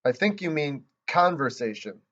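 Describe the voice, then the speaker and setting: A man voicing a patient, tired woman kindergarten teacher who speaks calmly and corrects gently. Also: on the high side, normal speed, highs cut off. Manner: calm, correcting.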